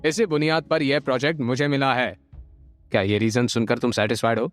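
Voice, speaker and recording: A man's voice: medium pitch, fairly even intonation, brisk, soft background music, close to an everyday voice. A man voicing an arrogant young heir of a rich family in an audio drama, smug and taunting. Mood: gloating.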